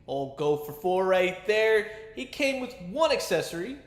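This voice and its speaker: A man's voice: sits high, big pitch swings, measured pace, echoey room. A man giving a casual, chatty toy review with a fan's enthusiasm and colloquial asides. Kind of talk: casual action figure review commentary.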